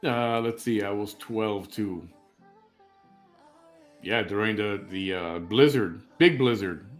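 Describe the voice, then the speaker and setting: A man's voice: lowish voice, takes it slowly, soft background music. A man in his fifties from Chicago hosting a live-stream chat show, rambling, opinionated and joking as he reads out viewer comments. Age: 50s.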